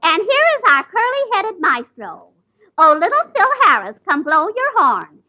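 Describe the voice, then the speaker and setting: A woman's voice: very high voice, huge pitch swings, normal speed, pushed and bright, faint music behind, full character voice. A woman playing a brassy, wisecracking female Blue Fairy who speaks in sing-song rhymes, in 1940s radio comedy. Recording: dull 1940s radio broadcast.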